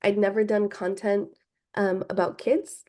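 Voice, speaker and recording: A woman's voice: medium pitch, level tone, unhurried, warm tone. A woman from Hawaii, a film director answering interview questions thoughtfully, with frequent ums and restarts.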